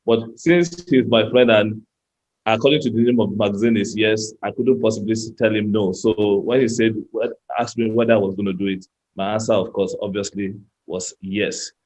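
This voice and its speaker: A man's voice: on the low side, steady tone, moderate pace, darkish tone. A Nigerian man in his fifties giving a chatty personal talk as a guest speaker, often repeating words and restarting his sentences. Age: 50s. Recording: muffled lo-fi sound.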